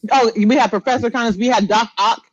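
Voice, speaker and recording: A woman's voice: fairly high pitch, fairly even intonation, fast, dry recording. A woman co-hosting a podcast in casual, opinionated chat, repeating phrases emphatically to drive her points home. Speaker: podcast co-host. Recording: dull, lo-fi close dry audio.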